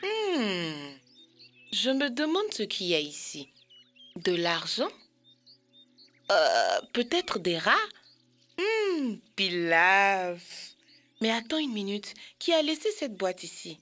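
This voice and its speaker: A woman's voice: mid-range voice, wildly swooping pitch, takes it slowly, pauses often, a bit bright, faint music behind, full character voice. A woman voicing a sneaky, greedy animal troublemaker in a French-dubbed children's cartoon, brash and exaggerated. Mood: curious, muttering.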